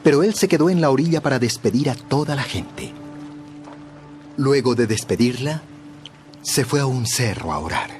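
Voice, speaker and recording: A man narrating a dramatized Bible story in a measured, expressive storytelling style. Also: medium pitch, soft background music.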